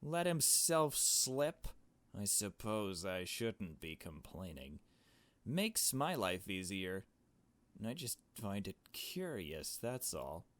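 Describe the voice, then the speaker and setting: A man voicing a blunt, cool young woman investigator from a visual novel, with a dry, deliberate, confrontational manner. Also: on the low side, sing-song, fairly bright tone, exaggerated voice. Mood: cool, sardonic.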